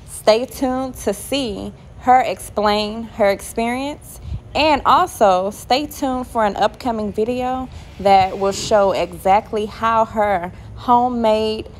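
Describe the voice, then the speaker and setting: A woman's voice: fairly high pitch, slow, slight background noise. A woman giving a scripted narration for a home video.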